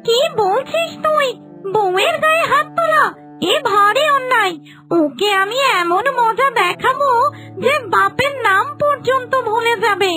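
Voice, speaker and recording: Very shrill, bossy ghost woman. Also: expressive, unhurried, over music. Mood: indignant, scheming. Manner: bossy, scolding, threatening. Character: bossy greedy female petni ghost, avenging and always hungry. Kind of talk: Bengali cartoon voice acting.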